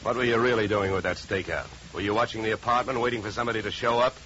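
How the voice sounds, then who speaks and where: Low-voiced hard-boiled radio private eye played by a man. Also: fairly even intonation, racing, fairly bright tone, close to an everyday voice. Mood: suspicious, pressing. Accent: American. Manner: hard-boiled, dry, controlled. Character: tough, wry male private investigator, cool and sardonic. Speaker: lead actor and first-person narrator. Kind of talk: 1950s radio detective drama acting. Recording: muffled, noisy 1950 radio recording.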